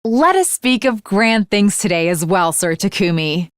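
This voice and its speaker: A woman's voice: medium pitch, expressive, a little slow, no echo, lightly acted. Grandiose young swordswoman, acted by a woman, dry sound. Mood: proud, grandiose. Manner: formal, archaic, grandiose declarations. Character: earnest teenage swordswoman, grandiose and chivalrous, secretly fangirlish. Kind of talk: English anime game voice acting.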